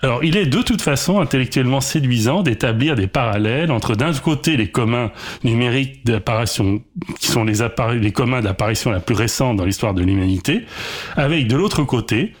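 A man's voice: mid-range voice, expressive, a little fast, slightly rough. A man delivering a prepared radio column in formal, explanatory phrasing.

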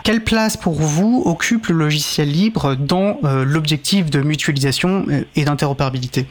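A man hosting a radio interview, halting and repeating words as he builds long-winded questions. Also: a little high, slight background noise. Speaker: radio show host.

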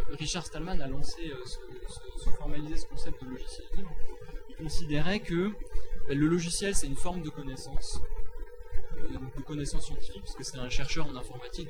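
A man giving a semi-spontaneous explanatory talk, didactic and methodical, often halting and repeating words as he goes. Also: fairly high pitch, low-quality recording. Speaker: free software advocate.

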